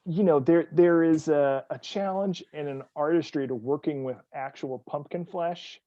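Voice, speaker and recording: A man's voice: on the high side, moderate pace, no room sound, muffled recording. A man from New England, a guest author, telling wry stories in casual conversation. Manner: relaxed, wry storyteller.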